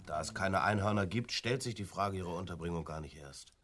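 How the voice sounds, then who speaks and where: An actor playing a skeptical, sarcastic young adult son in a satirical radio play, with a deadpan manner. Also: male voice, low-pitched, brisk, slight background noise. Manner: deadpan, sardonic. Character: dry, skeptical grown son, sarcastic rationalist. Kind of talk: German radio play acting, satirical comedy.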